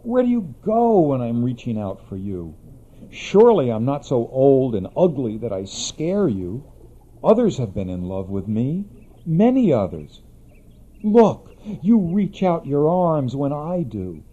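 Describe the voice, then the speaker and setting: A man's voice: mid-range voice, very animated, unhurried, mellow, slightly rough. An actor playing an anguished young man in love with his own reflection, pleading in an impassioned, declamatory way. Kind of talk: radio drama acting. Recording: dull lo-fi recording.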